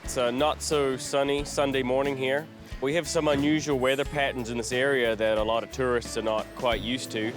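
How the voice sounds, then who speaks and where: A man from New Zealand, a lifeguard sergeant, calmly explaining his work in a documentary interview. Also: mid-range voice, a little fast, over music.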